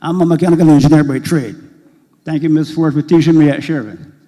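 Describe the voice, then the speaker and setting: A hoarse man with a mellow, slightly high voice speaks up from the audience. He is earnest and a bit rambling, and the long echo of a large hall trails behind his words. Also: steady tone, quick pace. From Barbados. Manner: earnest, rambling, with frequent fillers. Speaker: audience member asking questions. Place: school hall at a public meeting.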